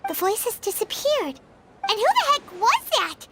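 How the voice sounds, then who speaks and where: A woman voicing a small, childlike, chatty fairy companion in a video game, squeaky and exclamatory, with noise over the recording. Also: huge pitch swings, normal speed, heavily acted. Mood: indignant, fretful. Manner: refers to self in third person, exclamatory.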